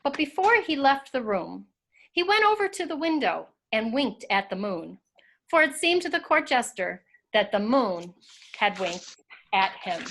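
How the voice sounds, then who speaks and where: A woman narrates a storybook tale from a script. Her voice sits a little high, and she reads as a storyteller. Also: sing-song, measured pace, a bit bright.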